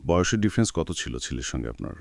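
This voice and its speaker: Male host, Bangladeshi Bengali accent, deep low pitch, dry close sound, short interview questions. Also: very animated, quick pace, gravelly, no room sound. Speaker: radio-style show host and interviewer. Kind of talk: hosting a life-story interview, asking short questions.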